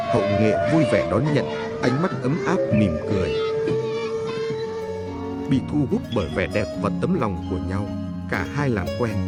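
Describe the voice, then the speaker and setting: A man reading a scripted fairy tale as a storyteller. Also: fairly low pitch. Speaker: storyteller narrator. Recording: music playing behind the voice. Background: prominent background music.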